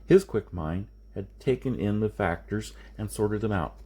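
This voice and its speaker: Husky low-voiced man narrating fiction. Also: unhurried, mellow. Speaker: amateur audiobook reader. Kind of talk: scripted narration of a science fiction novel.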